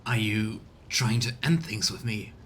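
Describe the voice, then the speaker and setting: A man's voice: fairly even intonation, a little slow, fairly bright tone. A man voicing a proud starship lord captain in a video game, with formal, declarative speech. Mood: proud, composed. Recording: low rumbling background noise.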